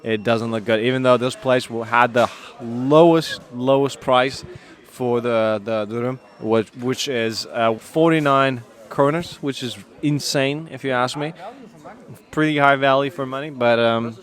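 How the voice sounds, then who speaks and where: A young man with a Danish accent hosts a casual food chat. He rambles through restarts and fillers in an ordinary middle-pitched voice. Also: fairly even intonation, measured pace. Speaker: podcast co-host.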